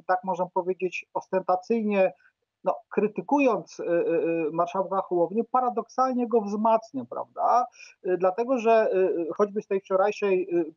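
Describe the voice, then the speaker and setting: High-pitched middle-aged man, halting commentator. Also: a little mellow, no room sound. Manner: halting, repeats words, often adds a questioning tag. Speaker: guest expert, political science professor. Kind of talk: political commentary in a news interview. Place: book-lined room at home, indoors. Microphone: earphone headset on a video call. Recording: dull, muffled video-call audio.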